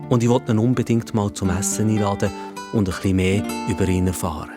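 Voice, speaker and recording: A man's voice: on the low side, steady tone, fast, loud music behind the voice. A man with a Swiss German accent narrating a children's story in the first person as a storyteller.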